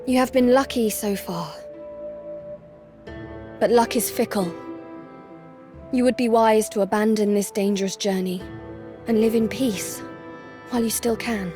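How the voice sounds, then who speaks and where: An actress playing a solemn, aloof Valkyrie warrior in a video game, speaking in a formal, measured, reserved way. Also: female voice, fairly high pitch, fairly even intonation, dark tone, soft background music, natural voice. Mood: stern. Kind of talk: video game cutscene acting.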